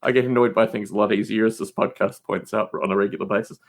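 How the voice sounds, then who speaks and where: A man's voice: fairly low pitch, steady tone, quick pace. A man in his forties talking casually as a podcast guest, emphatic and given to repeating phrases for stress. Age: 40s.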